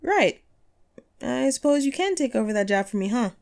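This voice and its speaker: A woman's voice: a little high, sing-song, normal speed, vocal fry, a little mellow. A woman playing a chatty, upbeat ghost of a radio host and ghost hunter in an audio roleplay, playful and musing in manner. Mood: chatty, enthusiastic. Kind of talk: scripted one-sided audio roleplay, conversational.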